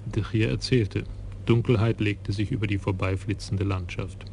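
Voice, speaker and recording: A man narrating a radio crime play in a calm, literary way. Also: fairly low pitch, normal speed, gravelly, slight background noise. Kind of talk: scripted narration.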